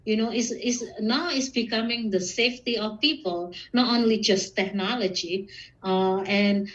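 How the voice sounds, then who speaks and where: A woman from Indonesia with an Indonesian accent, giving casual, halting interview answers as a podcast guest. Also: mid-range voice. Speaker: podcast guest, security professional and student. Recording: muffled, distant microphone.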